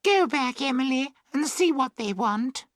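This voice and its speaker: A man's voice: extremely high pitch, expressive, a little slow, fairly bright tone, dry recording, clean studio sound, pitched above the performer's own voice, heavily acted. A man voicing silly, oblivious young women in a storybook reading. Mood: puzzled, calling.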